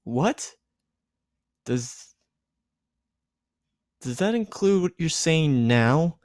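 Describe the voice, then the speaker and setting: A man's voice: a little high, lively intonation, very slow, darkish tone, dry recording, studio quality, voice pushed up. Man playing a shy, hesitant teenage boy. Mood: confused. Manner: hesitant, stammering. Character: shy, confused, easily embarrassed teenage boy.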